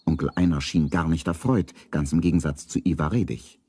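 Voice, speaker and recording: Very deep, husky male storyteller. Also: a little fast, a little mellow, no room sound. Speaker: narrator. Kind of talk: scripted storytelling narration for children's radio play.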